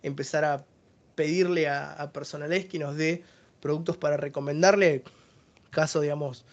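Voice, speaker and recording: A man's voice: on the high side, fairly even intonation, moderate pace, muffled recording. A man with an Argentine accent giving a technical webinar presentation, explaining each service step by step.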